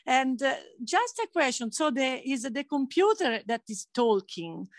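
A woman asking a halting question on a video call, with many fillers. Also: high voice, very animated, no echo, clean studio sound. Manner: hesitant, many fillers and restarts. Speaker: audience member asking a question. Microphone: laptop mic on a video call.

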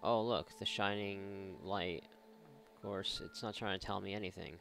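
A male gamer commentates casually over his play, sarcastic and prone to swearing. His voice is on the low side with noticeable fry, and faint game noise sits behind it. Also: fairly even intonation, normal speed, fairly bright tone. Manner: sarcastic, sweary, mock exasperated. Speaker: video game let's-player.

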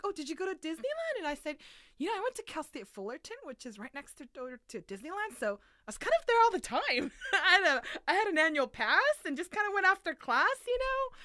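Chatty woman with a bright, high voice. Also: dry recording, studio quality. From South Korea and Los Angeles area. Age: 30s. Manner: chatty, animated retelling with quoted asides. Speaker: podcast guest, museum educator. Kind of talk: casual interview chat.

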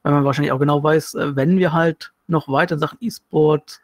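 A man's voice: on the high side, fairly even intonation, a little fast, dry recording. A man explaining his points in a casual discussion of gaming news. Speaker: podcast panelist.

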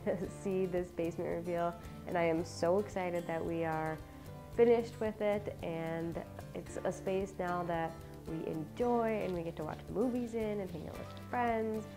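A young woman with a congested, slightly sick voice, chatting bubbly and casually through a tour of her home, often laughing and saying "like" and "um", recorded indoors on a lapel mic. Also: fairly low pitch. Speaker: home vlogger. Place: indoors, home basement rooms.

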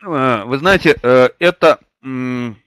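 An older man hosting a webinar lecture. He has a slight cold and fills his talk with many drawn-out hesitations. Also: mid-range voice, fairly bright tone, no echo. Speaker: webinar host and publishing trainer. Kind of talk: webinar lecture with personal anecdote. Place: small indoor room. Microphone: webcam or desk mic at a computer.

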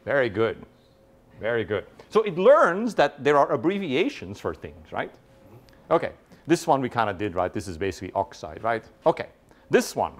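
A middle-aged man giving a university lecture in a large hall, lively and conversational, often checking in with "right?" and quizzing his audience. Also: medium pitch, moderate pace. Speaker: guest lecturer.

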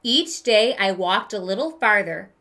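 Slow, bright-voiced woman reading to children. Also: mid-range voice, lively intonation. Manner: deliberate, dramatic storytelling with questions to young listeners. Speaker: teacher reading aloud to children. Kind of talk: expressive children's storybook read-aloud with asides. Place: small room with bookshelf, indoors.

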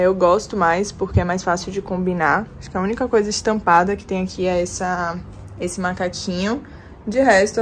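Young woman, lifestyle vlogger, chatty step-by-step walk-through, phone mic in a small bedroom with faint room noise. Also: mid-range voice. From Bahia, Brazil. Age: 20s. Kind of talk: casual vlog chat. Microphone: phone camera at arm's length.

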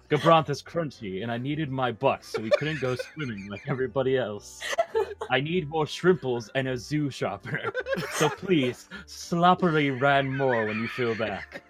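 A man's voice: mid-range voice, normal speed, over music. A man joking with friends and reading aloud in a playful, theatrical way. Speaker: player in a word game. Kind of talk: casual joking chat and comic reading aloud.

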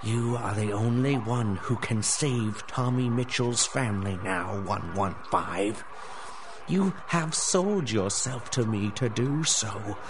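An actor playing a menacing, mock-merciful lord of the rats in a radio drama, pompous and taunting. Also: male voice, on the low side, noisy background. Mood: cold threat. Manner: pompous, taunting, drawn-out questioning. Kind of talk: 1930s-style radio drama acting.

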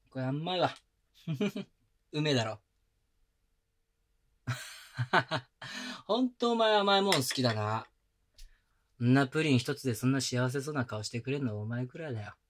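A man playing a gruff but doting boyfriend. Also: mid-range voice. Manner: casual rough slang softened by gentle coaxing; soft close whispers at times. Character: young male boyfriend, rough-spoken but doting caretaker. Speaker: voice actor. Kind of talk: Japanese girlfriend-experience roleplay audio drama. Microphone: binaural mic.

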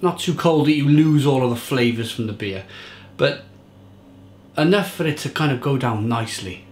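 A man's voice: medium pitch, expressive, normal speed, darkish tone, a little hiss or hum. Chatty man reviewing beer in echoey kitchen. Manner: chatty, enthusiastic, restarts and fillers. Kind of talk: casual review to camera. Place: home kitchen indoors. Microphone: fixed camera at table.

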